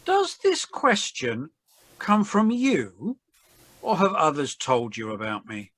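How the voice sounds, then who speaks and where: Woman doing calm solemn jesus in a bible reading, really slow, dry close sound, voice rises and falls a lot. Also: deep voice, no echo, heavily acted. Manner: slow, deliberate, measured delivery. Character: Jesus, calm, solemn man under arrest and questioning.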